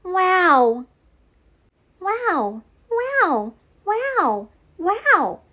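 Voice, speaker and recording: A woman's voice: extremely high pitch, very animated, drawn-out words, bright, forceful tone, voice pushed up, exaggerated voice. A woman voicing an eager, sweet little girl monster in a picture book, childlike and full of exclamation. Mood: amazed.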